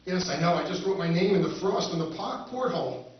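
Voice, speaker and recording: Guy playing a sly stranded soldier, high lively voice, echoey hall, far from the mic. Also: brisk, dull audio. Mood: scheming. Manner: wry, scheming, lively. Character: quick-witted stranded human soldier. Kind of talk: live old-time radio recreation on stage. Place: hotel function room stage with audience.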